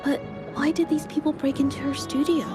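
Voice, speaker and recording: Actress as a high-voiced, thoughtful young investigator over music. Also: female voice, little pitch movement, fairly quick, natural voice. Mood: thoughtful, analytical. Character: polite, thoughtful young female investigator with artistic gifts. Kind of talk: video game story voice acting. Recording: music under the voice.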